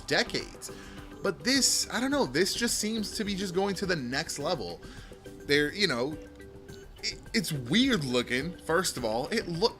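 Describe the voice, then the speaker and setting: A man hosting a tech show in casual, rambling commentary with a loud speaking voice, often repeating and restarting his phrases, close to a microphone. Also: medium pitch. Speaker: tech video host. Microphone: microphone close in front.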